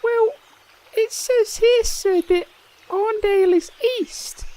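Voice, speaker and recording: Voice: very high-pitched, steady tone, takes it slowly, dark tone, low-quality recording. A performer playing a meek, long-suffering young squire in a comedy fantasy sketch, deferential and hesitant while politely contradicting. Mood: patient.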